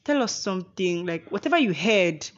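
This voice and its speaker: A woman's voice: fairly low pitch, lively intonation, moderate pace, dull audio. A young Nigerian woman hosting a podcast in casual chat, playful and teasing, often laughing. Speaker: podcast host.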